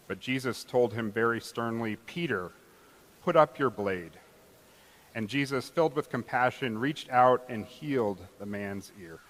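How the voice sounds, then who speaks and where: Male reader as regretful disciple in a church play. Medium-pitched, earnest storytelling, long hall reverb. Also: fairly bright tone, natural voice. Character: remorseful male disciple recalling his master's arrest. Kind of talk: church dramatic reading, solemn storytelling.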